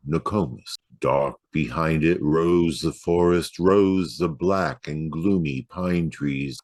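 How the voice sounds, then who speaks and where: A man giving a dramatic poetry reading in a measured, rhythmic storytelling delivery. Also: rumbling low, a little mellow, dry recording, clean studio sound. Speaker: poetry reader.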